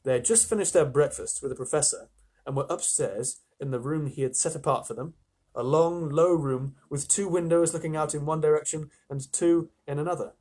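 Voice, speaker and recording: Husky-voiced man reading a storybook. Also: fairly high pitch, brisk, darkish tone. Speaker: storyteller reading a children's novel on a livestream. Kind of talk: expressive storybook reading with chatty asides.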